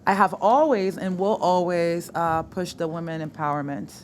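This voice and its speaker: A woman from the Dominican Republic, a sports host and movement coach, speaking as a guest in a casual podcast interview, animated and quick to laugh, dropping in Spanish words. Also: on the low side, a little hiss or hum.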